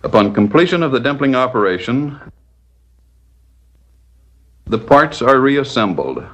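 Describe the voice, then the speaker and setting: A man narrating a scripted 1940s instructional film in a measured, didactic, step-by-step manner. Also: medium pitch, sing-song.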